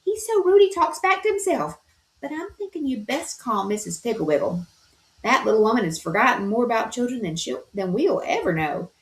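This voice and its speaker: Lady doing a chatty maid voice, high and gossipy, storybook reading, echoey room. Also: big pitch swings, a little fast. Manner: gossipy, brogue-flavored. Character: chatty Irish housemaid woman. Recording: roomy echo.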